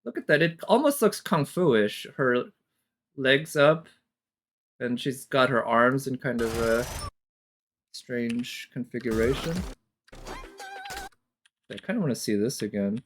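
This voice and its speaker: A man's voice: medium pitch, expressive, slow, pauses often, a bit bright, slight background noise. A man giving casual, off-the-cuff reaction commentary as a streamer, thinking aloud analytically with casual swearing.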